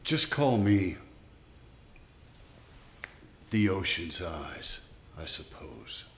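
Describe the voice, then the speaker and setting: A man's voice: low-pitched, sing-song, takes it slowly, full character voice. An actor playing a world-weary, coolly sardonic hitman in a noir crime film, terse and slangy. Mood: dry, calm. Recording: roomy sound under loud music.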